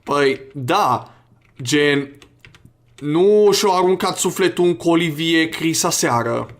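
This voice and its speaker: A man giving casual, crude and joking gameplay commentary, reading game text aloud as he goes. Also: on the high side. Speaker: gaming video host. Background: video game music.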